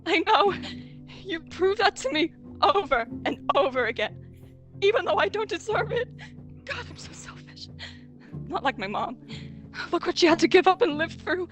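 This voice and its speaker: A woman's voice: very high-pitched, very animated, normal speed, long pauses, fairly bright tone, soft background music, voice pushed up, heavily acted. A woman playing an anguished, self-blaming young woman in a dramatic fan scene, earnest and pleading.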